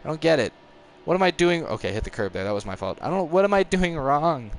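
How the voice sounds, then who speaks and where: A man's voice: fairly high pitch, big pitch swings, a little hiss or hum. A nineteen-year-old man casually chatting on a live stream while playing a racing game, rambling and reacting to the race. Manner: chatty, rambling, quick to frustration, chuckles. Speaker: gaming streamer.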